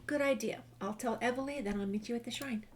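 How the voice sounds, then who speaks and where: A woman's voice: on the high side, fast, a little hiss or hum. A woman doing a casual game walkthrough, reading dialogue choices aloud and commenting. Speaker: gamer narrating a walkthrough.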